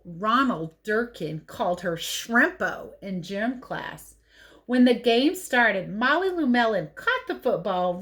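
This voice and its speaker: A woman's voice: fairly high pitch, fairly bright tone. A woman teacher reading a picture book aloud to children, telling it expressively and adding playful, chatty asides about the pictures. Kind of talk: animated read-aloud storytelling with casual asides.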